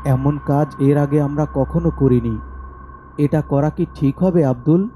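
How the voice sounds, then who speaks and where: Man as a timid apprentice, very dark mellow voice. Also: a little high, steady tone, measured pace, a little hiss or hum, voice pushed down, lightly acted. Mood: worried. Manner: hesitant, pleading. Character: timid, doubtful young male apprentice magician.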